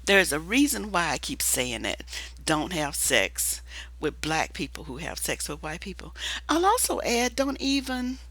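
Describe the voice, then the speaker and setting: A hoarse woman who is losing her voice talks in a casual, rambling monologue, restarting often and filling pauses with "uh" and "um". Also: mid-range voice, fairly bright tone, no room sound, low-quality recording. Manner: rambling, restarts with many fillers. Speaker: video commentator.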